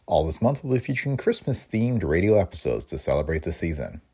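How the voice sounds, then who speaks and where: A man's voice: low voice, sing-song, fairly quick, warm tone, husky. An American man reading a scripted introduction as a podcast host.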